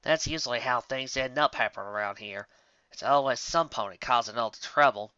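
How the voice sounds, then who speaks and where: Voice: mid-range voice, brisk, bright, forceful tone, dry recording, highs cut off, natural voice. A narrator voicing a level-headed, honest farm mare, a rueful elder sister pony in a storybook reading.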